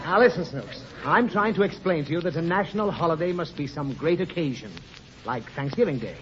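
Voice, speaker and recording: A man's voice: a little high, lively intonation, a little fast, close to an everyday voice. An actor playing a long-suffering father, pedantic and flustered, in old radio comedy. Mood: patient explaining. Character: exasperated, long-suffering father explaining things to his daughter. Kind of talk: 1940s radio comedy acting. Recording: muffled noisy old radio recording, studio audience.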